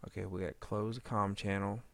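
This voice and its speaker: A man's voice: fairly low pitch, level tone, brisk, gravelly, dry recording. A man giving a casual, unscripted editing tutorial, meandering as he thinks aloud. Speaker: audio drama editor giving a demo.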